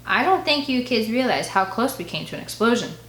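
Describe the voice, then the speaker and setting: A woman voicing a stern, authoritative dad in an audiobook, speaking in a measured, firm way. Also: fairly high pitch, very animated, fairly bright tone, roomy sound, voice pushed up. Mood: explaining. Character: stern, authoritative father, government man.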